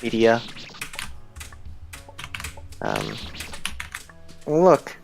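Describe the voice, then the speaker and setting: A man with a nasal, deadpan voice, chatting casually while watching a game stream. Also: medium pitch, drawn-out words, vocal fry, soft background music. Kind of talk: casual gaming chat.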